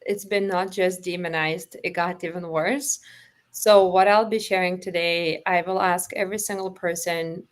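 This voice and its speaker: A woman's voice: on the low side, fairly even intonation, normal speed. A woman with a Lithuanian accent, a holistic practitioner speaking as an interview guest in an earnest, emphatic way, often repeating phrases. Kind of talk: impassioned interview talk.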